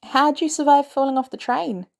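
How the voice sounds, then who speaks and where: A woman acts out a teenage boy in an audio story. He is eager and stubborn, pitched high, and blurts his questions with cheeky, excitable curiosity. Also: normal speed, vocal fry. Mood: excited, curious.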